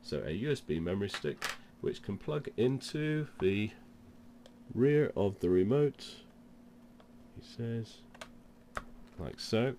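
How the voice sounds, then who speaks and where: Male demo presenter, deep register, has a cold, informal step-by-step instruction, noisy recording. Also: expressive, slow pace, warm tone. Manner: step-by-step explaining. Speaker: presenter of product demonstration.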